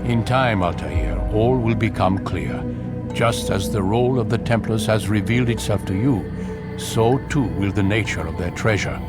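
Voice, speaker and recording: A man playing a stern, cryptic older master of an assassin order in a video game, measured and authoritative. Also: fairly low pitch, over loud music, close to an everyday voice. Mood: measured, instructive. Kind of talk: dramatic video game acting.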